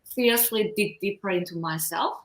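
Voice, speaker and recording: A woman in her thirties from South Korea, speaking English with a Korean accent, telling her story as a guest coach with lively, halting phrasing. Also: medium pitch, reverberant, poor audio. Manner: expressive, laughs often, halting non-native phrasing. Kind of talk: casual interview storytelling.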